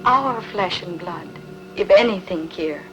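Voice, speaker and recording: A woman's voice: lowish voice, expressive, unhurried, fairly bright tone, rough recording. Proud, scheming queen, played by a woman, regal epic-drama delivery with sweeping intonation over a music bed. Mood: calm reproach. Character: proud, seductive, cunning ancient queen. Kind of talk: dubbed historical epic film acting.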